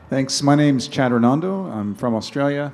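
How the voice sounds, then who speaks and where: A man from the United States introducing himself at a workshop in a relaxed, joking way. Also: medium pitch, normal speed, creaky. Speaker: workshop participant. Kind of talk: self-introduction. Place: conference room.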